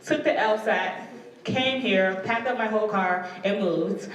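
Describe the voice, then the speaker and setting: A woman's voice: medium pitch, unhurried. A young woman gives an informal personal testimonial to an audience, conversational and often quoting exchanges. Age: young adult. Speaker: law student ambassador speaker. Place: large indoor lecture hall, speaking into handheld microphone. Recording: echoey hall, distant mic, faint room noise.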